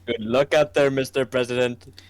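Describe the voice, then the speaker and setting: A man's voice: mid-range voice, fairly even intonation, moderate pace, natural voice. A man playing an affable, jesting friend of a president in a game, jovial and lightly teasing. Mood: fond.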